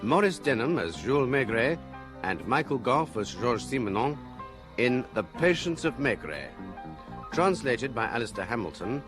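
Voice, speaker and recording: Male announcer for radio play credits, medium pitch, expressive intonation, clear music underneath. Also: moderate pace. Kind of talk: scripted radio drama announcement.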